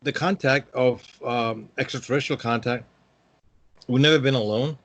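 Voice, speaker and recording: A man in his fifties from Peru. He sits as a guest in a relaxed interview, and his voice sits at an ordinary middle pitch. He rambles along with plenty of "um"s and restarts. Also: normal speed. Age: 50s. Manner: rambling, hesitant, many fillers. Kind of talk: casual interview talk.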